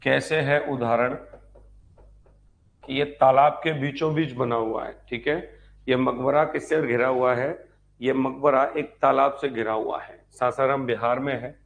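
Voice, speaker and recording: Male exam-prep history lecturer, medium pitch, dictates notes didactically and restates each point with quick questions. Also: steady tone, gravelly. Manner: didactic, repeats points and asks rhetorical check questions. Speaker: history teacher for exam preparation.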